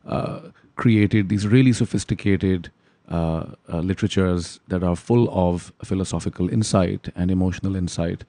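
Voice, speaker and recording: A man, giving articulate, measured interview answers with many pauses. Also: sits low, warm tone, no room sound. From Pakistan. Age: 30s. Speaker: guest.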